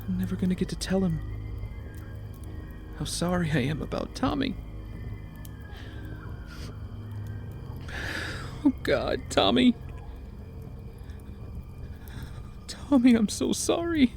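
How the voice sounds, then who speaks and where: An actor playing a starving, guilt-wracked young castaway in an audio drama, emotional and self-pitying. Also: male voice, high voice, very animated, moderate pace, gravelly, a little mellow, soft background music, full character voice. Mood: anguished.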